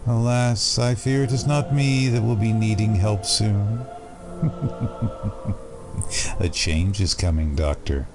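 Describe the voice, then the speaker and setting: Deranged male villain, menacingly playful, unhurried and animated, over prominent music. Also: lowish voice. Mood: ominous. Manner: theatrical, taunting, slow, giggling. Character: Edward, sly deranged riddle-obsessed male asylum patient, menacingly playful. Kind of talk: audio drama acting.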